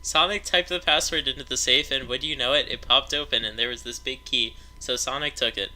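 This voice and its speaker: A man reads a silly story aloud with a very bright, forceful voice at a middle pitch. He plays up the narration as mock drama. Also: fairly quick, poor audio. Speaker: narrator of a story read-along. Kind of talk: amused reading aloud of amateur fan fiction.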